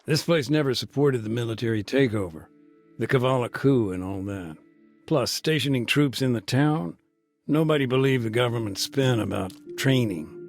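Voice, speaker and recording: An actor playing a middle-aged, war-weary former peacekeeper, speaking plainly with military jargon and dry humor, in a game cutscene. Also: male voice, mid-range voice, animated, moderate pace. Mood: calm. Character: war-weary male ex-peacekeeper telling his story. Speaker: interviewee. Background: music.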